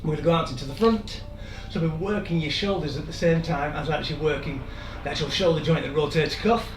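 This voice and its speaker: A man, hoarse and losing his voice, leading a live-streamed workout with chatty, encouraging asides. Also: fairly high pitch, quick pace, distant mic. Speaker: fitness instructor. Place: room in an old house. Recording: echoey room, off-mic, music behind.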